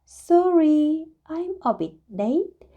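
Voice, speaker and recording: Woman playing a little storybook miss, raised pitch, breezy, casual, sheepishly apologetic, lilting. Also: sing-song, very slow, warm tone, lo-fi sound, full character voice. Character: breezy, apologetic, always-tardy little female storybook character.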